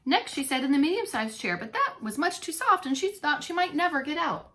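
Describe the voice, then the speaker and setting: Woman, children's storyteller, very lively intonation, bright voice, playful asides. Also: high-pitched, a little fast, slight background noise. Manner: animated, with playful asides to young listeners. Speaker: preschool teacher reading a story aloud. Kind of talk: expressive children's read-along narration.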